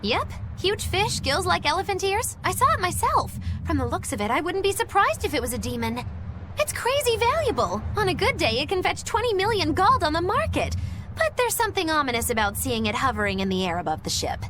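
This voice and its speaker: A woman's voice: very high voice, sing-song, brisk, background noise. A woman playing a brash, matter-of-fact female adventurer in a video game, frank and casual. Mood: impressed.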